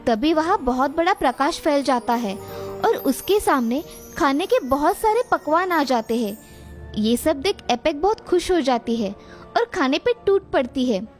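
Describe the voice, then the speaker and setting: A woman's voice: high-pitched, animated, unhurried, faint music behind. A woman narrator reading a scripted moral story for children.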